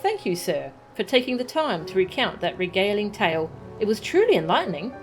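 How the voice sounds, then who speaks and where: A woman's voice: medium pitch, sing-song, a little fast, over music. Woman reading a polite young lady. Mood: grateful. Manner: formal, courteous reading of game lines.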